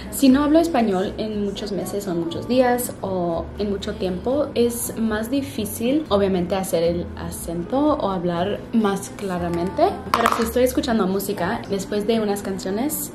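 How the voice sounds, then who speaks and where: A young woman from Canada chatting to the camera while she does her makeup in a hotel room, stopping to search for words and correcting herself. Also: medium pitch. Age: young adult. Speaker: vlogger. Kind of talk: casual get-ready-with-me chat to camera. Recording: roomy room with music underneath.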